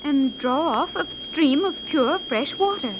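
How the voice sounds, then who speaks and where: A woman's voice: high voice, lively intonation, unhurried. A woman playing a precise, logical daughter in a 1930s radio serial, speaking formally and exactly. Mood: cool, instructive. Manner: formal, exact diction without contractions, didactic. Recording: muffled, hissy 1930s radio recording.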